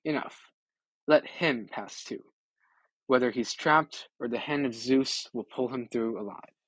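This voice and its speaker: A man's voice: mid-range voice, measured pace, a bit bright, slightly rough, no room sound, poor audio. A man voicing a loyal old swineherd who grieves for his master, in an audiobook of an epic poem. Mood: gently curious.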